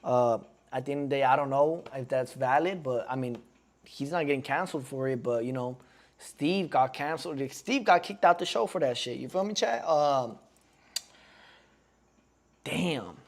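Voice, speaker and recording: A man's voice: medium pitch, moderate pace. A man in his twenties rambling in slangy casual commentary as he reacts on stream, constantly checking in with his chat. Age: 20s. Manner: slangy and rambling, keeps asking the chat "you feel me". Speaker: streamer reacting to a podcast clip.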